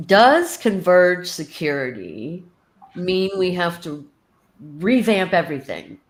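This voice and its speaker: A woman moderating a panel discussion, posing questions in a playful, teasing way. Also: low-pitched.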